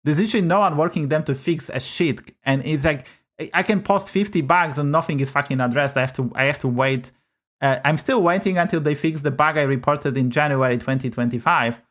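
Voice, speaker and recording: A man's voice: a little high, fairly quick, fairly bright tone. A man with a Polish accent, a native Polish speaker, giving blunt, swear-heavy casual commentary on a game stream from a home setup. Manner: blunt, swears often, rants about bugs.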